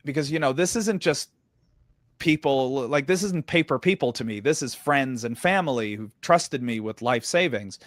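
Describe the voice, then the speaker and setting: Animated man with an American accent. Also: no room sound, clean studio sound. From Sweden. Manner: animated storyteller, acts out dialogue with quick jumps in pitch. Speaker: guest. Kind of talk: storytelling in an interview.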